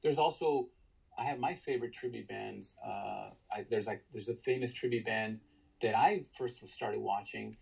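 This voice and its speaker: Gravelly baritone man, husky. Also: moderate pace, darkish tone, poor audio. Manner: enthusiastic storyteller, many restarts. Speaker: guest, tribute band singer. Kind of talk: casual podcast interview.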